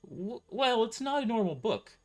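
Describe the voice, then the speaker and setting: A man's voice: extremely high pitch, very animated, moderate pace, a little mellow, soft background music, pitched above the performer's own voice, exaggerated voice. A man voicing a scruffy, frightened gang survivor who stammers nervously, in an amateur audio drama. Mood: nervous, sheepish.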